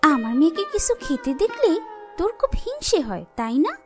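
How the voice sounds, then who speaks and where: A woman's voice: very high-pitched, big pitch swings, fairly quick, over music. A woman voicing a cruel, domineering stepmother in a fairy-tale cartoon, scolding and taunting harshly. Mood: contemptuous.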